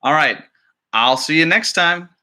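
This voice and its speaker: Animated, bright-voiced man reading to children. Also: fairly high pitch, unhurried, clear, resonant tone. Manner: expressive, explanatory. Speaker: librarian, storytime reader. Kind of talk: children's picture book read-aloud with chatty asides.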